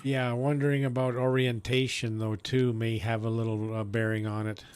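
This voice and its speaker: A man's voice: mid-range voice, little pitch movement, moderate pace, darkish tone, clear, resonant tone. A man talking casually as an amateur radio operator in a technical chat.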